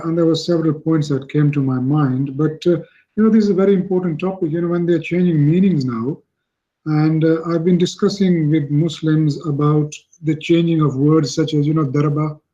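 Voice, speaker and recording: A man's voice: fairly high pitch, warm tone. Hesitant male caller on a dull line. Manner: hesitant, many fillers. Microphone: call over the internet.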